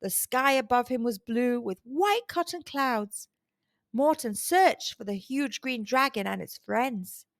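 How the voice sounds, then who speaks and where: High-pitched, animated woman storyteller, slow pace. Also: no room sound, clean studio sound. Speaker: children's storytime host and narrator. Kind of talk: expressive read-aloud storytelling for young children.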